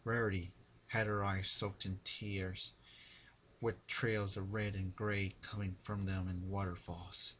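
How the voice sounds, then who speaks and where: A man narrating fan fiction as a dramatic reading, with deliberate phrasing. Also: low-pitched, little pitch movement, pushed and bright, slight background noise.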